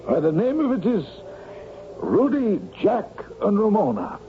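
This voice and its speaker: A pedantic older gentleman in a radio play. His voice is highish and very animated, fussy and knowing. The broadcast sounds thin and band-limited. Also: unhurried, narrow, tinny audio, slight background noise, heavily acted. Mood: pedantic, polite. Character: older, pedantic, insistent male mystery book buff. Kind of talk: radio drama acting.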